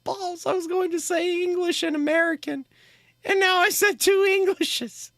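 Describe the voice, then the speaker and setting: A man commentating on a game live for his chat, joking and animated. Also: on the high side, dry recording, clean studio sound. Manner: jokey, animated, talks to chat. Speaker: streamer.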